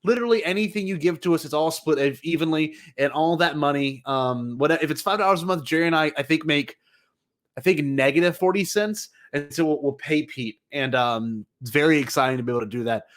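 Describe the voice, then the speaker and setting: A male podcast host with a fairly high voice for a man. He talks casually and rambles through his thoughts, joking and playfully hyping things as he goes. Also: fairly quick.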